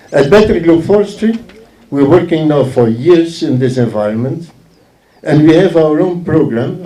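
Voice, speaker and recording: Male guest speaker, unhurried, emphatic, mellow tone, echoey distant recording. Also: medium pitch. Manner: emphatic, repeats phrases for effect. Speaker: guest speaker from a forestry organisation. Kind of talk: informal public speech at a ceremony. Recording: echoey speech heard from far off.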